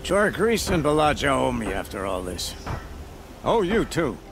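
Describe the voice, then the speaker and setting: An actor playing a put-upon but good-natured guard in a video game, grumbling and exasperated. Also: male voice, medium pitch, measured pace, gravelly, background noise. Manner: grumbling, animated. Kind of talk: video game dialogue acting.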